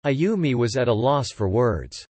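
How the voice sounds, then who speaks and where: A man's voice: medium pitch, very animated, unhurried, a little mellow, husky, no room sound. A man reading scripted audiobook narration as the story's narrator.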